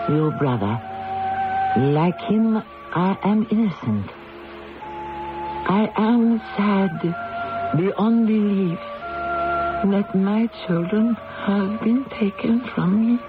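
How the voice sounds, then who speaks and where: A woman's voice: medium pitch, lively intonation, slow, pauses often. An actress playing a proud, grieving French queen condemned to death, solemn and theatrical in an old-time radio drama. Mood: sorrowful. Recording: dull band-limited old radio recording, music behind.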